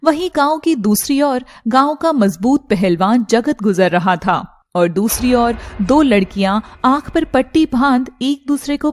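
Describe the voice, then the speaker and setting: A woman's voice: a little high, a little mellow. A woman giving scripted narration for a cartoon story.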